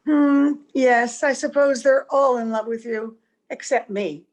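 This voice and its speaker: A woman's voice: on the high side, fairly even intonation, measured pace, a little mellow, lightly acted. A woman playing an anxious, affectionate young-looking wife in an old-time radio play. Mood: tender, teasing. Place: home, over a video call.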